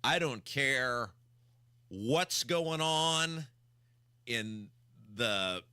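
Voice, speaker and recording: A male radio host delivers opinionated banter in a very bright, punchy voice. His delivery is exasperated and emphatic, with lively pitch swings and points hammered home by repetition. The sound is dry and close. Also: drawn-out words, clear, resonant tone, no room sound. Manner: emphatic, exasperated ranting with rhetorical questions and repetition. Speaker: radio talk show host.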